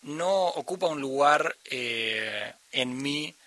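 A man with an Argentine accent, a musician talking thoughtfully in an interview and often pausing to search for the right word. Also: mid-range voice, lively intonation, bright, forceful tone, no echo. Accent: Argentine Spanish. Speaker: musician guest. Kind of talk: reflective conversational interview.

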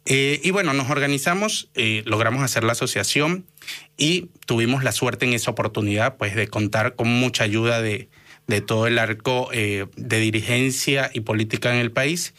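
A man's voice: mid-range voice, normal speed, pushed and bright. A man in his thirties from Venezuela with a Venezuelan Spanish accent, a doctor answering interview questions earnestly, with many fillers and restarts. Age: 30s. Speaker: guest, doctor and activist.

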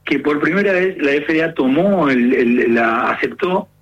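A man's voice: on the high side, animated, measured pace, dull audio. A man with an Argentine accent, a gerontologist explaining earnestly in a radio interview, with many fillers. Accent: Argentine Spanish. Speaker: gerontologist guest.

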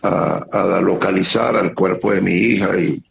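A middle-aged man with a Venezuelan accent giving an earnest, halting account as an interviewee, often repeating words. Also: low-pitched, steady tone, gravelly. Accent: Venezuelan Spanish. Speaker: interviewee, security operator. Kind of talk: emotional testimony on a remote interview. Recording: muffled lo-fi line with faint noise.

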